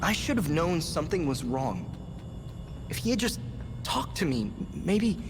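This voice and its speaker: A man's voice: a little high, very animated, a little slow, background noise. An actor playing an earnest, inquisitive teenage boy hero in a video game, with an eager, youthful manner. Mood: regretful, earnest. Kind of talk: video game cutscene acting.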